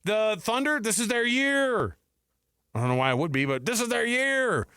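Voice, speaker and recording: Animated man on sports talk radio, bright voice. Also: high-pitched, moderate pace, clear, resonant tone, no room sound, studio quality. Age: 40s or older. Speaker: sports radio show host. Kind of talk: casual sports talk banter.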